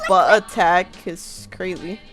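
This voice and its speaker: A young woman giving casual, slangy game commentary in an excitable, profane, reactive way. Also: lowish voice, slow pace. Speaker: gamer commentator. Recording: game music behind voice.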